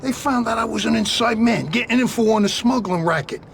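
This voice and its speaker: A man's voice: very high voice, brisk, vocal fry, a little hiss or hum. A man playing a rattled, foul-mouthed mob informant in a video game, blunt and streetwise. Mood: rattled, relieved. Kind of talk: video game cutscene acting.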